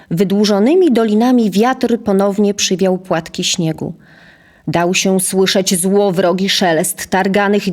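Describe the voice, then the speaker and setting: A woman narrating a scripted audio drama as storyteller, in a measured, literary manner. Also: mid-range voice, a little mellow.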